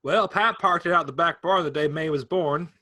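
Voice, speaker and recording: A man's voice: a little high, normal speed, bright, forceful tone. A man playing an easygoing middle-aged farmer uncle who teases in a wry way. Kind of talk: staged play reading.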